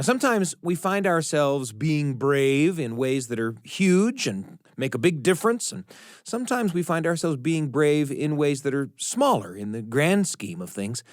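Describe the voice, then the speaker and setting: Male host for story program: lively melodic delivery, mid-pitched, emphatic pauses, close dry studio. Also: no echo. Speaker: radio show host. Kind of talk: scripted storytelling-show introduction.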